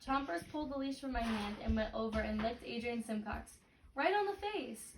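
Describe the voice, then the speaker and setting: A woman's voice: a little high, expressive, moderate pace. A woman reading a picture book aloud expressively to a child, as if leading a read-aloud lesson. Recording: roomy, distant mic, clicks and clear noise.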